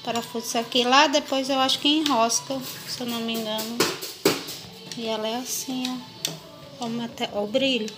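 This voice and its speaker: Woman, Brazilian accent, higher voice, casual home vlog, informal explaining, clear background music. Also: fairly even intonation, normal speed. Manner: informal, showing and explaining as they go. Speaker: home vlogger. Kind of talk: casual chat to viewers. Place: home kitchen, indoors. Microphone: handheld phone or camera, held by the speaker.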